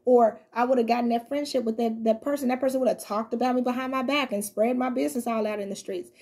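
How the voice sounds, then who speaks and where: A young woman with a slightly high, breathy voice gives a casual tarot reading to the camera. She chats loosely with her viewers and reads guidebook passages aloud. She sits on her living room couch a few feet from the camera. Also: fairly even intonation, fast, darkish tone. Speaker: tarot reader. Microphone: fixed camera a few feet away.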